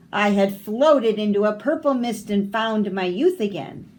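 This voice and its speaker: A grumpy old man in a storybook, grumbling slowly about his disturbed dream, as a woman reading aloud puts him on. He drifts along unhurried, in a voice only lightly changed from the reader's own. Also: on the high side, voice pushed up.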